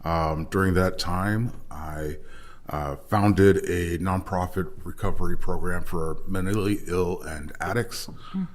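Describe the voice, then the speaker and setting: A man's voice: sits low, fairly even intonation, slow pace. A man introducing himself as a candidate at a public forum, with a big, forthright voice. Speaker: city council candidate.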